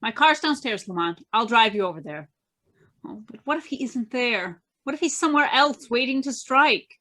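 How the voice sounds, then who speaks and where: Casting: woman as earnest radio-serial heroine, high, bright voice, urgent and anxious. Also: expressive, moderate pace. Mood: worried, urgent. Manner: urgent, questioning. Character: earnest, anxious, plucky young woman sidekick of detective. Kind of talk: old-time radio drama acting.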